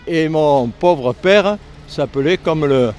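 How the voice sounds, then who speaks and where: An older man with a southern French accent from Lozère, a retired air force serviceman speaking earnestly in a street interview, halting when the subject turns painful. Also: a little high, a little mellow, a little hiss or hum. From Lozère, southern France. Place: outdoors on a city street.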